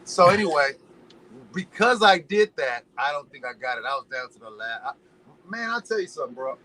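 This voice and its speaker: A man from Los Angeles chatting casually as a podcast guest, an animated, slangy storyteller who drifts into preachy musings. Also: on the high side, a little fast, slight background noise, low-quality recording. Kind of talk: casual chat.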